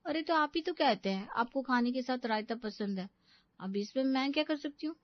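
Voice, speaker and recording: A woman's voice: sits high, animated, moderate pace, dry recording, highs cut off. A woman voicing a cheeky young daughter-in-law who justifies herself huffily in a cartoon family comedy. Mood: defensive. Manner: self-justifying, huffy.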